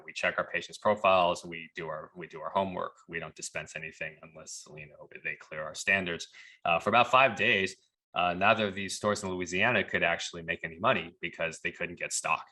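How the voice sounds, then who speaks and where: A man's voice: deep voice, sharp tone. A man speaking conversationally as a podcast guest, telling personal stories and often quoting dialogue. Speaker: pharmacist turned leadership coach. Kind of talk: conversational storytelling.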